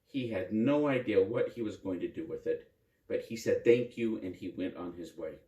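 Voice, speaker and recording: A man narrating a children's story in an expressive, simple way, with dramatic repetition. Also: low-pitched, moderate pace, muffled recording. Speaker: priest and storyteller. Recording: roomy echo, distant microphone.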